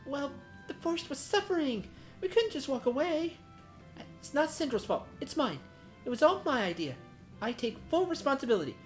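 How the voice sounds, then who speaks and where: A woman's voice: high voice, very animated, normal speed, soft background music. A woman voicing an earnest, devoted young apprentice conjurer in a fantasy game, with an eager manner. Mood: pleading, earnest. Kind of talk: reading fantasy game dialogue aloud.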